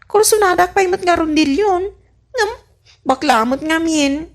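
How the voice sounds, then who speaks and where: Woman playing a high-voiced lovelorn young villager. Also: expressive, moderate pace. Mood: disappointed. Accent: Filipino. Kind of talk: comedy radio drama acting.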